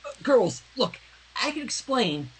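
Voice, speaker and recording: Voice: mid-range voice, sing-song, measured pace, breathy edge, voice pushed up, poor audio. A fan fiction reader voicing a flustered, panicky teenage girl who stammers and placates.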